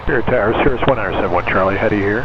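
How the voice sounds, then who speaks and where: A man's voice: fairly low pitch, very fast. A man working as a pilot, talking casually to the tower over the radio. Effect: radio transmission. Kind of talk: casual aviation radio talk. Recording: muffled radio, loud engine noise. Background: engine noise.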